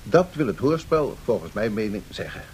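Lively, didactic male professor. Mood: explanatory, measured. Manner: didactic, deliberate, formal. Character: learned male economics professor, measured and cautionary. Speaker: professor answering questions. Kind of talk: radio play lecture, explanatory dialogue. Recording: 1970s radio recording with faint rumbling noise.

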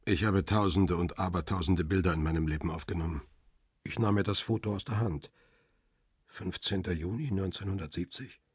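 An actor playing a world-weary, guarded paparazzo who narrates a radio crime drama in a measured, understated, husky way. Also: male voice, sits low, no room sound, studio quality. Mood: pensive.

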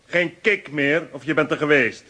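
A man's voice: on the high side, moderate pace, pushed and bright, a little hiss or hum. An actor playing a masked henchman who barks threats and orders. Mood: threatening. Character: gruff masked henchman, man.

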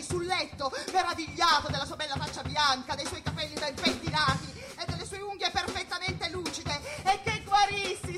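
A jittery, very high-voiced jazz musician. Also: female voice. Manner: conversational, nervy, rambling. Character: sardonic, jittery jazz musician in hospital, mocking doctors. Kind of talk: theatrical radio monologue. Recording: music playing behind the voice.

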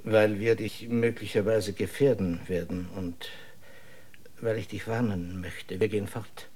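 A man playing a grave, cautious dissident scientist in a German radio drama, husky and measured. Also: on the low side, fairly even intonation, a little mellow. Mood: grave, confiding. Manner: measured, deliberate, serious.

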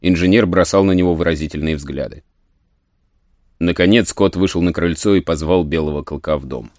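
A man's voice: sits low, fairly quick, a little mellow, no room sound, studio quality. A man narrating a story as a scripted dramatic audiobook. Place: studio.

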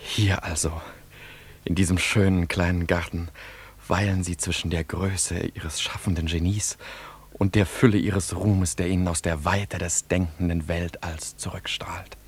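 A humble, awestruck wandering scholar in a radio drama. His deep voice is very husky and breathy, hushed with reverence as he pours out flattery. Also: measured pace. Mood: reverent. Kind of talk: theatrical German radio play acting.

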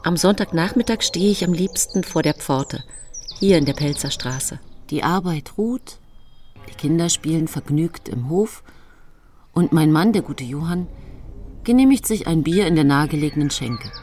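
A woman's voice: low-pitched, animated, normal speed, darkish tone. An actress playing an outwardly pious, secretly cruel young bourgeois wife in a German radio play, telling her story with genteel self-satisfaction. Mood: self-satisfied.

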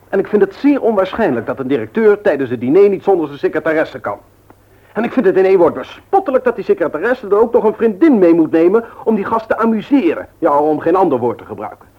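An actor playing a jealous, suspicious partner in a radio play, sceptical and emphatic with indignation. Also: male voice, sits high, sing-song, fast. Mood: suspicious, scornful. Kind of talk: Dutch radio play acting. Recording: dull old radio play recording.

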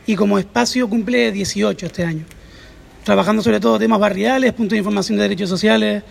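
A man with a high voice and a very gravelly, creaky texture. He speaks in a measured way, listing items one after another. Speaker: community activist and radio organizer. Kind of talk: casual self-introduction to a group.

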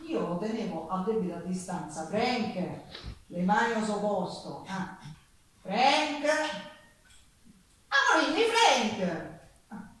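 Casting: actress for passionate Sicilian woman of humble roots, theatrical monologue, Sicilian accent, hushed to loud; heard far from the mic over faint noise. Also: medium pitch, slow, pauses often, very echoey. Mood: wistful, excited. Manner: dramatic, expressive, shifting from hushed to loud. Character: Sicilian working-class woman recalling her hard youth, earthy, passionate. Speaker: stage actress in a one-woman show. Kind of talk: theatrical monologue storytelling with bursts of song. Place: live theatre stage.

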